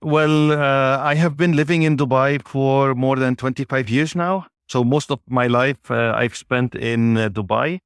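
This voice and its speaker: A man of Lebanese origin, a native Arabic speaker, answering interview questions in a measured way with frequent pauses. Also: medium pitch, steady tone, clean tone, no echo. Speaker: guest, business executive.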